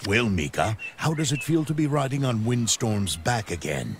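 Gravelly, kindly old horseman. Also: mid-range voice, big pitch swings, moderate pace, background noise. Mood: warm, encouraging. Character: kindly old horseman mentoring a young rider. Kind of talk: video game dialogue.

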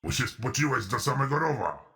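A man voicing a sleazy, menacing male prison inmate in an animated comedy, with a deadpan, mock-friendly manner. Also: mid-range voice, a little fast, slightly rough, full character voice.

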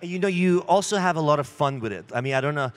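A man's voice: a little high, expressive, fast. A middle-aged man interviewing a guest onstage, asking leading, conversational questions into a handheld microphone. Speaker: interviewer, publisher. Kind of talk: onstage fireside chat.

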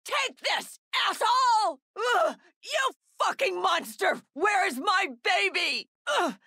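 A woman's voice: very high voice, lively intonation, slow, sharp tone, no echo, shouting, studio quality, exaggerated voice. A woman playing a frightened, desperate mother in a survival horror video game, with pleading, gasping delivery. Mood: enraged. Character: desperate, frightened mother searching for her missing son.

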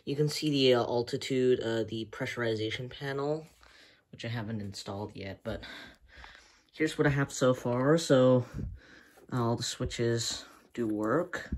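A man hosts a casual show-and-tell vlog, speaking slowly in a hesitant, soft-spoken way. His pitch sits in an ordinary middle range. He is recorded indoors at home on a handheld phone camera. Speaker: hobby channel host.

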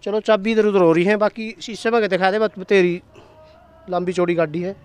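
A man's voice: high-pitched, steady tone, fairly quick, a little hiss or hum. Chatty man hyping used cars. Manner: chatty, hyping prices, asks dealer questions. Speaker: host of a used car channel. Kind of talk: casual walkaround sales video. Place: outdoor used car lot. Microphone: handheld mic.

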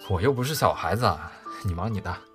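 A man's voice: low voice, animated, fast talker, soft background music. A man playing a headstrong, protective young man in an audio drama, speaking bluntly and insistently. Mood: casual, stubborn. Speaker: voice actor in a fan audio drama. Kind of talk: Chinese audio drama acting.

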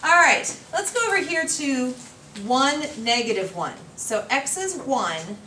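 A woman's voice: sits high, very animated, unhurried, pushed and bright. Woman teacher in an echoey classroom. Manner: explains step by step, quizzes students. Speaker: math teacher. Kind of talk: classroom lecture. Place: classroom at a projected whiteboard. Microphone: far camera. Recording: echoey classroom from far off, faint noise.

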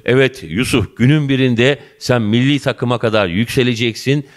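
A middle-aged man conducting an interview in a school office, speaking into a handheld mic. He is effusive and flowery, addressing both the guest and the viewers with warm terms of endearment. His voice sits at an ordinary middle pitch. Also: moderate pace. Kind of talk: local TV interview.

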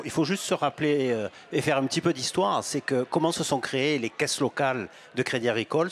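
A man in his fifties, a bank executive, giving measured explanatory answers in an interview at a fair stand, with some hesitations and repeated words. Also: mid-range voice. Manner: gestures broadly while talking. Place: outdoor stand at an agricultural fair, on a sofa. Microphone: handheld microphone.

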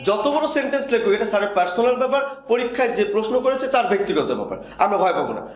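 High-pitched, fast Bangladeshi man teaching, very echoey. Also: sing-song. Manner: emphatic, didactic, asks and answers own questions. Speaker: English grammar teacher. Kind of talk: classroom-style video lecture. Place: indoor room in front of a whiteboard. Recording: very echoey, reverberant room.

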